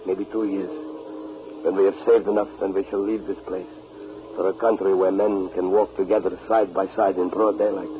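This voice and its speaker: A man's voice: low voice, flat delivery, moderate pace, close to an everyday voice. An actor playing an earnest, oppressed man who dreams of a better life, in a 1930s radio drama. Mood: grim, hopeful. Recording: muffled noisy 1930s radio broadcast. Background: loud music.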